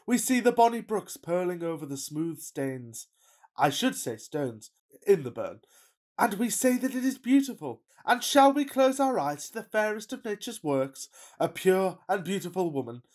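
An actor playing an impulsive, fickle gentleman suitor, effusive and florid, in a Victorian radio farce. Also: sits high, big pitch swings, moderate pace. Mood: effusive wooing. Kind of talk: farcical Victorian radio play acting.

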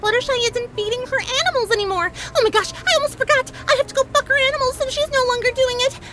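A woman voicing a bubbly, naive young pink cartoon pony who rambles excitedly. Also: very high voice, rapid-fire, pitched above the performer's own voice. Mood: blithely chatty. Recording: loud music behind the voice.

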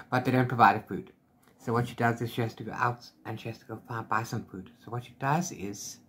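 A middle-aged man with a medium-pitched voice talks casually to the camera as a book vlogger. He rambles through his retelling in an animated way, tossing in little filler syllables as he goes. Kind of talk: casual chat recapping a book. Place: small book-lined room at home.

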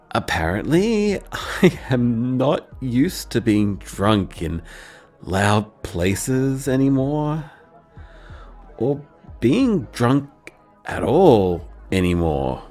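Actor as a young man, rambling, self-deprecating, unhurried, lively pitch, faint music behind. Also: full character voice. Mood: tipsy. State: tipsy. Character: shy affectionate young man leaving voicemails. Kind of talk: audio drama voicemail monologue.

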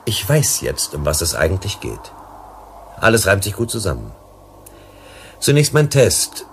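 An actor playing a shrewd, composed investigator in a German science fiction radio drama, speaking in a probing, self-assured way. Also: male voice, low-pitched, wildly swooping pitch, measured pace, slight background noise, exaggerated voice. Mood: earnest, explanatory.

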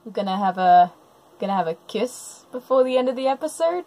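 A woman's voice: a little high, animated, fairly quick, dark tone. A woman giving casual live reaction commentary, gushing over cute moments. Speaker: commentator reacting to an animated series. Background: episode audio and music.

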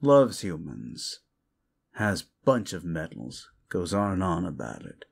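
A man playing a condescending, sardonic ancient demon in an audio roleplay, gravelly, with theatrical, mocking delivery. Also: low-pitched, sing-song, takes it slowly, exaggerated voice. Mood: bemused, exasperated. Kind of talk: audio roleplay monologue addressed to the listener.

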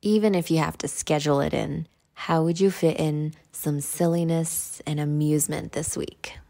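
A woman leading a guided meditation in a measured, instructional way. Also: sits low, steady tone, dark tone.

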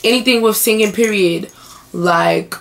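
A young woman vlogging in a small bedroom, hoarse and chatty as she answers tag questions to her webcam. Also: mid-range voice, a little slow. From United States. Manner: chatty, animated hand gestures. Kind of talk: casual chat.

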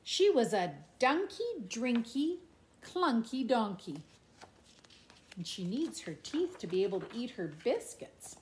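A middle-aged woman reading a children's picture book aloud in an expressive, playful, sing-song way, with asides about the pictures. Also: on the high side, slow, a little hiss or hum. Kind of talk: animated children's storybook read-aloud with asides. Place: indoors in a room at home. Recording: echoey room.

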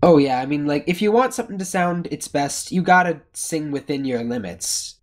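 A man's voice: fairly high pitch, expressive, normal speed. Chatty self-deprecating male guest. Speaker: guest vocalist. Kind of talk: casual podcast chat.

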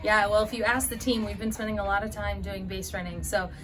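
A woman in her thirties, a head softball coach, answering postgame questions earnestly with many fillers, recorded indoors by a window. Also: medium pitch, little pitch movement, a little fast, noisy background. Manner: earnest, fillers and restarts, gestures while talking. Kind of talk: postgame press interview.

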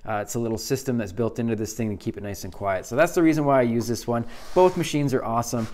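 A man's voice: mid-range voice, fast talker, a little mellow. Laid-back man teaching welding. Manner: laid-back, chatty, says "pretty simple" a lot. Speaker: welding instructor. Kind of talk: casual workshop tutorial. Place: small home welding shop, indoors.

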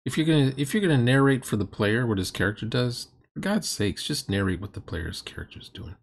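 A man's voice: medium pitch, expressive, brisk. A middle-aged man giving blunt, mocking commentary as he reacts to a game, in a sarcastic manner. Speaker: host reacting to a recorded roleplaying game.

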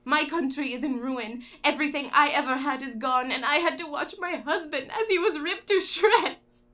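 A woman's voice: high voice, moderate pace, sharp tone. A woman playing an embittered, grieving widow in a radio drama, speaking with raw emotion. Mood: tearful, bitter. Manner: emotional, tearful, prone to outbursts. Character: grieving, embittered widow of a war-ruined country.

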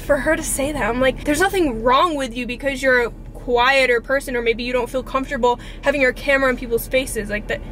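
A young woman with a high voice talks casually to the camera like a confessional vlogger. She is earnest and informal, with the odd mild swear. The recording is made in a car, with clear background noise around her voice. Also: fairly quick, few pauses, fairly bright tone. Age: 20s. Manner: earnest, informal, mildly profane. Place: inside a parked car.

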